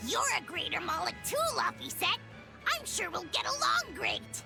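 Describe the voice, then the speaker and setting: An extremely high, squeaky little creature played by a woman from a video game. It is needy and servile, swinging wildly in pitch as it gushes and whines. Music plays prominently underneath. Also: very animated, moderate pace, creaky, full character voice. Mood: perky, boastful. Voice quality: squeaky, childlike. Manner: melodramatic, whiny, gushing. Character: small excitable, needy, servile male spirit sidekick. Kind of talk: cartoonish video game acting.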